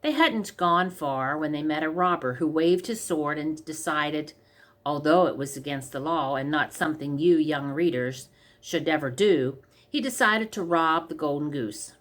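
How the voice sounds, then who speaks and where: A woman narrates a children's storybook from a script. Her voice sits somewhat low as she reads the tale aloud. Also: normal speed, fairly bright tone. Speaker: story reader.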